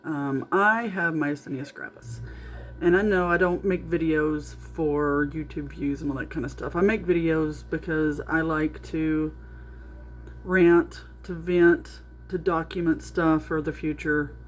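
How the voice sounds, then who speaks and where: A middle-aged woman with a naturally loud voice, talking through a casual video diary with lots of ums and restarts. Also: sits low, fairly even intonation, measured pace. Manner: rambling, with frequent ums and restarts. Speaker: vlogger.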